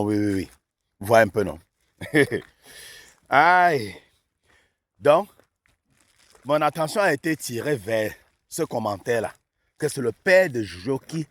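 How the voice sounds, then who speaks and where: A man's voice: mid-range voice, dry recording. A man commenting casually for his audience, laughing often and asking them questions. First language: not French. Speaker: online news commentator. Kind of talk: casual commentary on a played clip.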